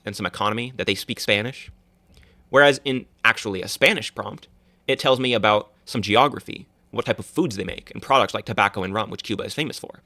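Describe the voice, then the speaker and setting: Fast-talking young man presenting. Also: mid-range voice, sharp tone, no echo. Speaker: student presenter. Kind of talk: class project presentation.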